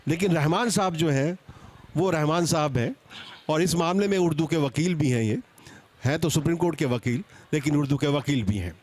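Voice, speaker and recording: Male seminar moderator, slightly high voice, conversational and extempore, halting restarts mid-sentence. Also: a little mellow. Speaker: panel moderator. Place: public seminar at a book event.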